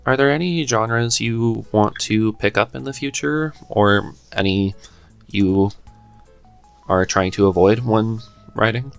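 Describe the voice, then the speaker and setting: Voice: medium pitch, slow pace, gravelly, clear, resonant tone, soft background music. A podcast host chatting casually in an interview. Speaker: podcast host and script writer.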